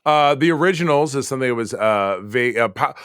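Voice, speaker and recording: Hoarse guy from michigan cohosting a podcast, jokey, dry close sound. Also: mid-range voice, animated, fairly quick, fairly bright tone, no room sound. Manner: chatty, jokey sign-off. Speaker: podcast co-host. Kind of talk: casual chat.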